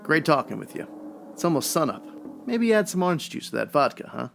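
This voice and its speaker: A man's voice: fairly high pitch, sing-song, fairly quick, creaky, soft background music. A man playing a tough, hot-headed, sardonic ex-cop detective in a comedic old-time radio noir. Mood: wry. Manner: hard-boiled, sardonic.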